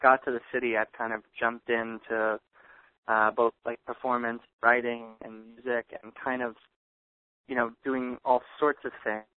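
A man's voice: mid-range voice, level tone, normal speed, no room sound. A young man talking casually as an interview guest, rambling with many fillers and restarts. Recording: tinny phone-like line.

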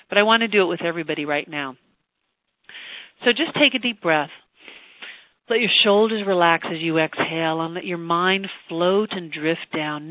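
Older teleseminar host, gushy and chatty then slow hypnotic relaxation voice, tinny dry phone line. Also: medium pitch, no echo. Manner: effusive and chatty, turning slow and soothing for a trance induction. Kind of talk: live teleseminar, then guided relaxation script.

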